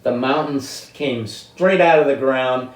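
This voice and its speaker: An elderly man in his eighties from the United States telling personal stories in an unscripted, halting, deliberate way, with long pauses and drawn-out words. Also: medium pitch. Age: 80s. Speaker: interviewee telling life stories. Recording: roomy, distant microphone.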